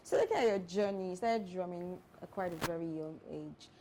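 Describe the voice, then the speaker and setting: Lowish, mellow woman co-host on a tv studio show, asks gentle questions. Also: moderate pace, slight background noise. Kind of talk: studio interview.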